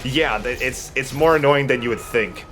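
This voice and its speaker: A man's voice: medium pitch, moderate pace, fairly bright tone. A man giving casual, joking gameplay commentary in an informal way. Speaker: let's play co-host, player. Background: video game music.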